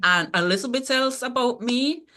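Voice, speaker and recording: A woman from Tobago, a librarian, talking as a guest on a panel in a measured, explanatory way. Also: a little high, sharp tone. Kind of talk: online panel talk.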